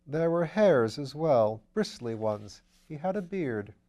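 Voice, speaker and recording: A man voicing a breezy aristocratic gentleman detective in an audiobook reading, chatty and full of upper-class slang. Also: a little high, big pitch swings, slow pace, full character voice. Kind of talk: audiobook character voice.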